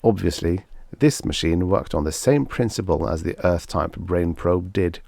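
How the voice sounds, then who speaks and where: A man's voice: deep voice, moderate pace, creaky, a little mellow, dry recording. A man reading a scripted story as an audiobook narrator.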